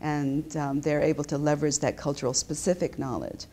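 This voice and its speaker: Professor lady in her 50s, deep-ish voice, talks it through with lots of uh and restarts, office interview. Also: fairly even intonation, fairly quick, warm tone. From Japan. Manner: explanatory, with frequent restarts and fillers. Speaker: business school professor. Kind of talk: interview answers. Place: indoor office.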